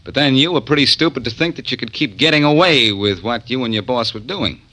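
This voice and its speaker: A man's voice: mid-range voice, animated, brisk, sharp tone. An American man playing a cocky, wisecracking tough detective in a 1940s radio drama. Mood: cool, taunting. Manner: wisecracking, self-assured. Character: cocky, quick-witted tough amateur detective hero. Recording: vintage 1940s radio recording.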